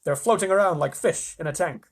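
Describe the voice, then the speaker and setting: A man voicing a flustered, excitable storybook astronaut with an urgent, insistent manner. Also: fairly high pitch, brisk, husky, pitched above the performer's own voice. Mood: bewildered. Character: flustered, excitable male astronaut Shuckworth alerting mission control.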